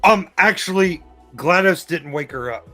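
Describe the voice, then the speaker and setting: Male trivia contestant on a casual stream, crisp bright tone, soft music behind him. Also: high voice, lively intonation, a little slow. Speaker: player in a live trivia game. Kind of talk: casual chat. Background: faint music.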